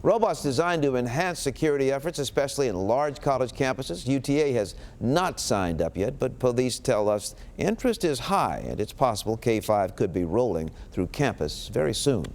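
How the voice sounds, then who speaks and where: A man's voice: medium pitch, animated, normal speed, hardly any pauses, darkish tone, slight background noise. A man with an American accent reading local television news as an anchor.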